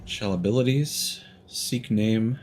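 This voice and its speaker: A man's voice: on the low side, slow, darkish tone, soft background music. A young man streaming a game, casually reading menu items aloud to himself.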